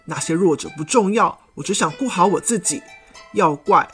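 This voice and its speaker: A woman's voice: lowish voice, moderate pace, lightly acted. A woman voicing a selfish young male stag beetle swordsman in a children's audio story. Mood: cold dismissive. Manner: aloof, dismissive, later humbled. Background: background music.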